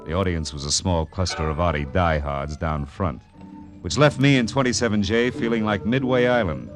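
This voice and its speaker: An actor playing a world-weary, wisecracking private detective in hard-boiled 1940s radio drama, wry and clipped. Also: male voice, low-pitched, normal speed, music in the background, lightly acted. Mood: wry narrating. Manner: wry, clipped, tough-talking.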